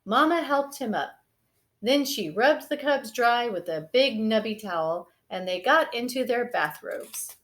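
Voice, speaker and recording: Expressive woman reading a bedtime story. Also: fairly high pitch, unhurried. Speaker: storyteller reading a picture book. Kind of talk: expressive children's read-aloud.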